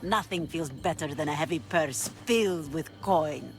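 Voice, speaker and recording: Casting: shrewd pirate chief in a game, authoritative, dry delivery, music under the voice. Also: female voice, sits low, moderate pace. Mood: shrewd, businesslike. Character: Xenia, commanding, shrewd female pirate leader. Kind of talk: dramatic video game cutscene acting.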